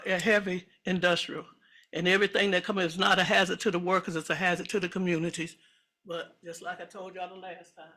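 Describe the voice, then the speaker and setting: A woman with a loud voice, making an earnest, emphatic public comment at a county meeting, with a slight frog in her throat. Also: lowish voice. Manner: earnest, emphatic, reads aloud from notes.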